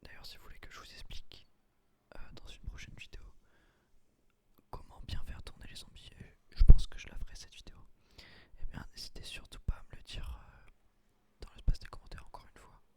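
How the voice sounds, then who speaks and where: A man hosting a gaming video in a hushed, breathy whisper, ASMR style, close on a binaural microphone. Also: brisk.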